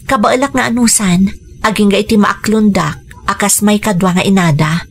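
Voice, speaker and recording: A woman's voice: mid-range voice, moderate pace, gravelly, slightly rough. A woman with an Ilocano accent, acting as a patient, caring stepmother in a radio drama. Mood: patient resolve. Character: patient, caring young stepmother wanting the children's acceptance. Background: music.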